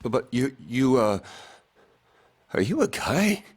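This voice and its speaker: A man's voice: medium pitch, sing-song, takes it slowly. An actor playing a flustered, awkward young man, the game's hero, who stammers often. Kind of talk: video game cutscene voice acting. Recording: game audio with background music.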